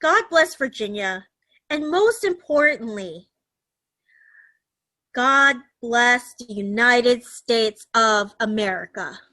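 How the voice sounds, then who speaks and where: A woman reading a political speech aloud over a video call, with deliberate emphasis and the odd stumble. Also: on the high side, lively intonation, pushed and bright. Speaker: meeting speaker reading a message.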